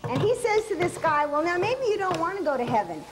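Woman, cooking show co-host, high voice, playful jokester, noisy kitchen. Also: very animated, quick pace. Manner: playful, squeamish, joke-telling. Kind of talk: comic cooking banter.

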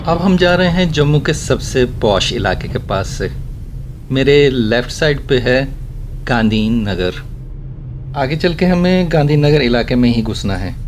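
A man giving a casual, wry running commentary as he drives a guest around a city, speaking from inside a car. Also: medium pitch. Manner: chatty, wry remarks about traffic manners. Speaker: vlogger giving a city driving tour. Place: inside a car driving through a city in rain.

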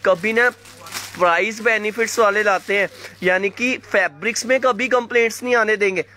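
A man running a fast live sale of clothing, calling viewers by name and coughing from a sore throat. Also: high-pitched, steady tone, bright, forceful tone. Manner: fast hyped patter, addresses viewers by name. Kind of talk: live sales pitch to viewers. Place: indoor shop floor, clothes laid on mat.

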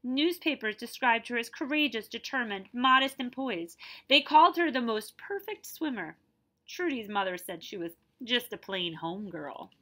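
A woman reads aloud to children in a fairly high voice with very lively, swooping pitch. Her tone is bright and her delivery emphatic, drawing out key words and pausing to explain things in simple language. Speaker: librarian reading aloud. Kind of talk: picture-book read-aloud with explanatory asides for children. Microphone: device camera at about arm's length.

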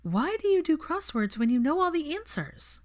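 A woman's voice: sits high, sing-song, moderate pace, voice pushed up. A woman voicing a spirited, inquisitive woman in a story reading, questioning and emphatic. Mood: exasperated.

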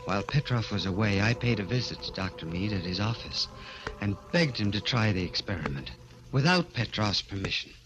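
A man's voice: fairly low pitch, expressive, fairly quick, dull audio, music in the background, lightly acted. A man playing a haunted figure who narrates his own story intensely, in 1940s radio thriller style. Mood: resolute. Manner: dramatic, intense first-person narration. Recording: noisy old 1940s radio recording.

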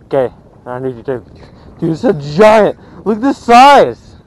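A teenage boy on a small fishing boat, chatting excitedly and exclaiming over his catches, picked up by an action camera. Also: on the high side, a little slow. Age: teens. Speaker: high school angler. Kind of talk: excited casual chat while fishing. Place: small fishing boat on a windy lake, outdoors. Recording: wind and boat noise outdoors.

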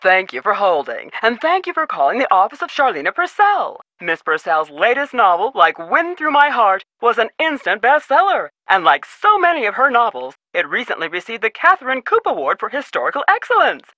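An actress playing an effusive, polished announcer on a promotional phone hold recording in a game. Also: female voice, on the high side, big pitch swings, a little fast, few pauses, bright, forceful tone, no room sound, exaggerated voice. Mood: gushing, promotional. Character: gushing recorded hold-message announcer for romance novelist.

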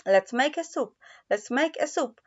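A woman's voice: sits high, expressive, unhurried, darkish tone. A woman voicing eager talking vegetables in a children's storybook. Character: eager talking vegetables planning soup together. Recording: dull lo-fi recording.

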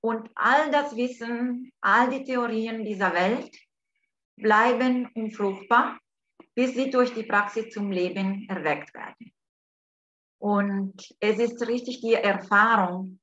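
A woman from Italy with an Italian accent, hosting an online talk by video call from home, speaking slowly and hesitantly with many fillers. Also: a little high, fairly bright tone. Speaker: host. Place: home room, indoors. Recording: dull lo-fi video call audio.

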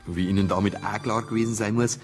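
An actor playing a dogged, suspicious police investigator in a radio crime drama, brooding and colloquial with a Bavarian accent. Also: male voice, on the low side, fairly even intonation, fast talker, lightly acted. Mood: deliberating. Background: background music.

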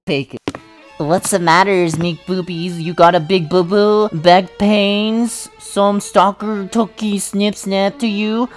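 Woman as a very slow, goofy schoolgirl. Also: mid-range voice, fairly even intonation, soft background music, lightly acted. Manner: silly baby-talk, drawn out. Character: goofy, playful schoolgirl with babyish mangled words. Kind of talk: fan anime parody dub.

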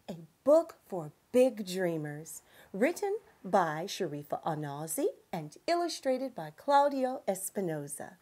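A middle-aged woman hosting a children's storytime, speaking gently with deliberate, drawn-out phrasing. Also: on the high side. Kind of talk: gentle presentation to young children.

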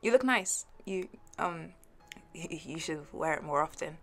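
A woman's voice: low-pitched, lively intonation, a little slow, soft background music, full character voice. A woman playing a playful, shyly fond young best friend in an intimate audio roleplay, giggly and hesitant. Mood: flustered, shy. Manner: giggly, hesitant, soft trailing asides. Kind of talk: intimate audio roleplay, one side of a conversation.

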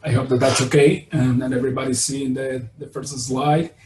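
A man's voice: medium pitch, flat delivery, moderate pace, darkish tone. A middle-aged man with a Venezuelan Spanish accent, a virology professor giving a webinar lecture with many fillers and restarts, heard over a laptop video call. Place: indoor room on video call. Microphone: laptop webcam on video call. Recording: roomy, distant, faint noise.

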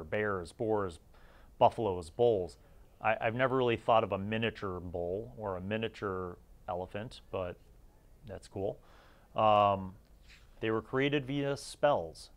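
A man with a fairly low-pitched voice hosts a casual review. He talks without a script, thinking aloud as he browses and wandering into conversational asides. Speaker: host of a retro gaming review series. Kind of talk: casual unscripted review while leafing through a magazine.

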